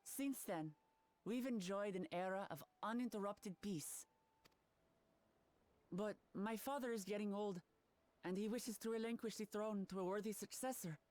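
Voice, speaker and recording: A woman's voice: medium pitch, darkish tone, lightly acted. Woman playing an earnest, spirited young princess. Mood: solemn, explanatory. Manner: earnest, resolute, plain-spoken. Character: earnest, spirited young princess seeking her father's throne. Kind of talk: video game cutscene acting.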